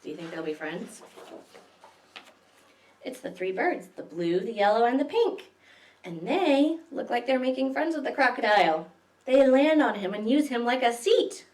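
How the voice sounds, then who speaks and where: Echoey, animated woman reading to young children. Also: a little high, normal speed. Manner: expressive, asks the young listeners questions. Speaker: library story time host reading picture books. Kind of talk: read-aloud storytelling for young children. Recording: echoey room, distant microphone.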